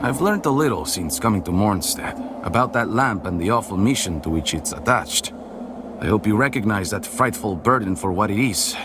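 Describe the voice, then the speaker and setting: Casting: male actor as pompous, wheedling nobleman for a fantasy game, theatrical and highly animated. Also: moderate pace, background noise, natural voice. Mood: covetous, fascinated. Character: pompous, self-important nobleman, wheedling and condescending. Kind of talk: dark fantasy game character acting.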